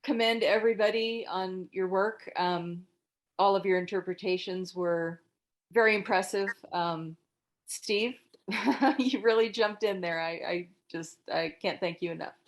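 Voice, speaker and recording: Encouraging woman hosting a script critique. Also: medium pitch. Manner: halting, encouraging, tactful. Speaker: table read host giving critique. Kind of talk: informal spoken feedback to a group.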